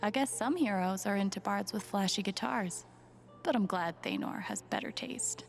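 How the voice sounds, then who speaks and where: A woman's voice: mid-range voice, moderate pace, soft background music, lightly acted. An actress playing a wry, curious young woman roleplaying as a bard in a video game, talking conversationally. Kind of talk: video game acting, inner monologue.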